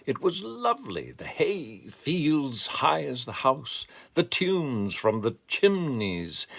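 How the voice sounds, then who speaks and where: A man with a gravelly voice speaking in a deliberate, measured way, as a poetry reader reflecting and reciting expressively. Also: on the low side. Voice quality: gravelly, creaky voice.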